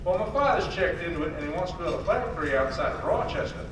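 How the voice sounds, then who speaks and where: A hearty, teasing young businessman played by a student man in an old-time radio play. His voice sits high for a male. It comes from far off in a very echoey, noisy hall. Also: lively intonation, quick pace, close to an everyday voice. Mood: confident pitching. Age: teenager or young adult. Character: brash, jovial businessman pal Sam. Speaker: student actor.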